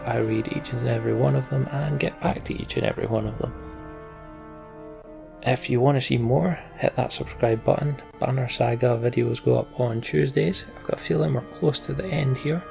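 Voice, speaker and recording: A man's voice: medium pitch, brisk, gravelly, over music. A man hosting a video game let's play, giving casual commentary as he plays.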